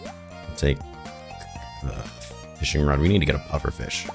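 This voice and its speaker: A hoarse man with a raspy, rough voice, rambling and thinking aloud as he plays through a farming game in casual commentary. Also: extremely low pitch, moderate pace. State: hoarse from shouting the night before. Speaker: gaming video host. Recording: game music behind the voice. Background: game music.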